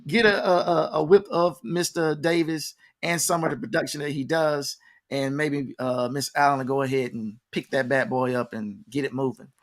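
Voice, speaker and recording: A man's voice: fairly high pitch, steady tone, moderate pace. A man hosting a casual conversational interview, often repeating himself and speaking straight to the audience. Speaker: interview show host.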